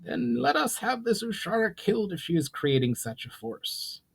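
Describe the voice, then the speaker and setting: Proud male goblin leader, acted by a man, high pitch, animated, suspicious, formal, fantasy audiobook. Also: normal speed, creaky, husky, exaggerated voice. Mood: grudging. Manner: formal, challenging speech.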